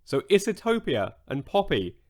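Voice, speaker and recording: A man narrating a children's bedtime story in a measured, expressive storytelling manner. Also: fairly high pitch. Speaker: audiobook narrator.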